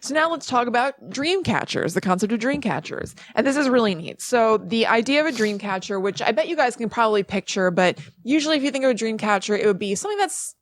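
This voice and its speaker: A woman's voice: fairly high pitch, animated, quick pace, hardly any pauses, no room sound. A woman co-hosting a podcast, explaining things informally in a chatty, conversational way. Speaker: podcast co-host. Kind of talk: casual chat, informal explaining.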